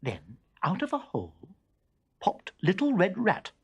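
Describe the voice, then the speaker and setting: A man narrating a children's story dramatically, expressive and suspenseful, savouring every sound word. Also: high voice, measured pace, highs cut off, background noise.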